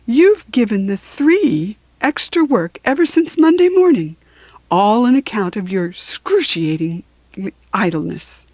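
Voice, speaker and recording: A woman voicing a brisk, scolding desert djinn in a children's storybook, with emphatic, admonishing phrasing. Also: a little high, sing-song, a little mellow, no room sound. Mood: stern, coaxing. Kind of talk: children's storybook character reading.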